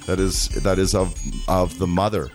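A man with a very low voice speaks earnestly and at length as an interview guest. He talks slowly and deliberately, often stumbling and restarting mid-sentence. Faint music sits under the voice. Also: steady tone. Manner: slow, deliberate, with frequent restarts. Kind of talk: earnest conversational monologue.

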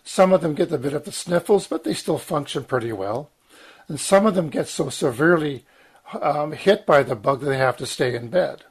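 A man in his sixties, croaky from medication, giving an earnest, emphatic talk with frequent ums, restarts and throat-clearing. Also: on the high side, animated, normal speed. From Lower Mainland, Canada. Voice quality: husky, clears throat often. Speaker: guest speaker, former journalist. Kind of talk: long spoken commentary, guest talk.

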